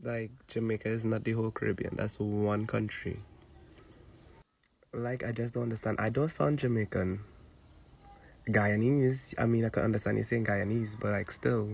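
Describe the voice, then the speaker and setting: A man's voice: fairly low pitch, fairly even intonation, moderate pace, creaky, darkish tone, breathy edge. A man with a Trinidadian accent in an exasperated, casual rant, recorded with some background noise.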